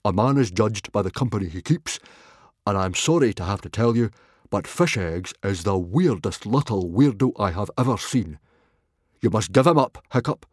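Big dumb hearty viking dad for a kids story, loud blustery husky voice, pitch jumping all over. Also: male voice, lively intonation, moderate pace, dry recording, clean studio sound. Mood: solemn, firm. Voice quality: booming, husky. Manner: roaring, bellowing, blustering. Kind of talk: children's audiobook character voice.

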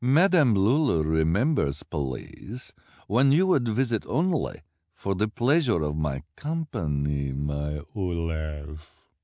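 A man's voice: on the low side, big pitch swings, slow pace, darkish tone, dry recording, clean studio sound, voice pushed up. A man doing Madame Lulu, wheedling fortune-teller. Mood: complaining. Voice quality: strange foreign accent, broken English. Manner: wheedling, ends phrases with "please". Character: scheming female fortune-teller, flattering, greedy. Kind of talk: audiobook character voice.

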